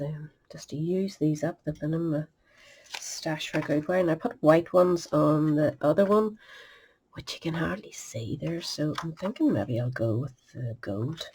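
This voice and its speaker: A woman's voice: low-pitched, darkish tone, slightly rough. A sniffly middle-aged woman, chatting through a step-by-step card-making demonstration and muttering asides under her breath. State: sniffly with a cold. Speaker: craft tutorial host.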